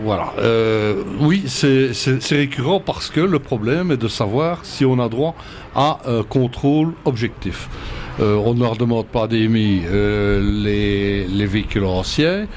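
A man being interviewed on outdoor radio, speaking in a measured, hesitant way with many fillers. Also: medium pitch, darkish tone, slight background noise. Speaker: federation president, interview guest.

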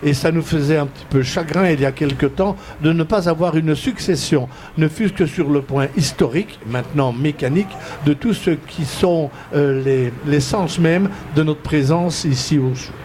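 A man's voice: on the high side, moderate pace, hardly any pauses, darkish tone, a little hiss or hum. A man with a Liège accent, hosting a live outdoor radio broadcast and interviewing a guest, chatty and prone to restarts. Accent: Liège Belgian French. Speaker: radio show host. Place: outdoors at an event, windy. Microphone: headset.

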